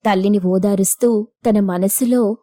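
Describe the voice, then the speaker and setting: A woman narrator delivers a scripted moral tale. Her voice is mid-pitched for a woman. The recording is dry and close, without room sound. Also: fairly even intonation, a little slow, dark tone, no room sound.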